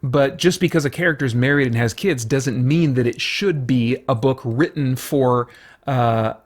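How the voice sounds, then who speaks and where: Man giving a casual, halting review. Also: medium pitch. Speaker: host of a comic book review show.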